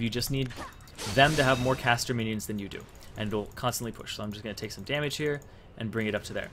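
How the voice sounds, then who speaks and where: A young man giving casual, explanatory gameplay commentary, thinking aloud as he plays. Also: medium pitch. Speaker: gamer making a strategy guide. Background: faint game music and sounds.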